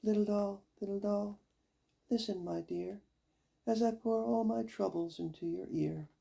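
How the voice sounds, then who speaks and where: An older man voicing a sweet, sorrowful young girl in a storybook, pleading in a gentle singsong. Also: high voice, fairly even intonation, measured pace, creaky, slightly rough, pitched above the performer's own voice, heavily acted. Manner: gentle, singsong rhyming plea.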